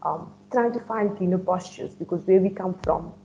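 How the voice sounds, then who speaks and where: A young woman, a refugee student on a panel, speaking earnestly with pauses and restarts. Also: fairly low pitch, gravelly, mellow. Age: young adult. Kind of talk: roundtable discussion. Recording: dull, faintly noisy remote audio.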